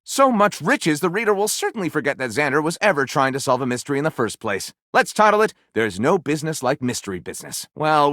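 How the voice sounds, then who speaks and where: A man's voice: fairly high pitch, a bit bright, dry recording, studio quality. A man playing a flippant, theatrical writer character in a video game, speaking with wry sarcasm and mock grandeur. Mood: sarcastic. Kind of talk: animated game dialogue, mock-grand title announcing.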